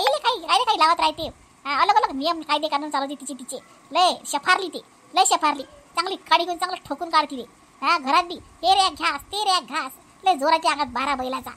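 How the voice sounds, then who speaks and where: A woman's voice: extremely high pitch, brisk, sharp tone. A woman voicing a quarrelsome, suspicious older mother-in-law in a comic cartoon, scolding and repeating complaints in a Vidarbha Marathi accent. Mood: spiteful complaining. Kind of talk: exaggerated comic cartoon dialogue.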